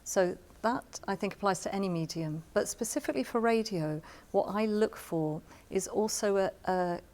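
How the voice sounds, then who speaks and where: A woman's voice: mid-range voice, normal speed, darkish tone. A woman radio drama producer giving explanatory, emphatic writing advice in an interview. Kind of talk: spoken advice to camera in an interview.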